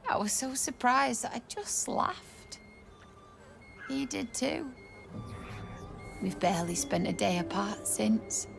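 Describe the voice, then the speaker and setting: A grieving young village widow. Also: female voice, a little high, expressive, slow, vocal fry, long pauses, faint music behind, exaggerated voice. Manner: tearful, hushed. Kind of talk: video game voice acting.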